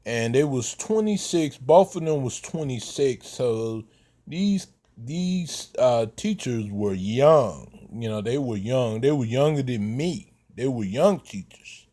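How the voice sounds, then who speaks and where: A man, giving casual commentary in a slow, halting way with many fillers. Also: medium pitch, animated, mellow. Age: 30s.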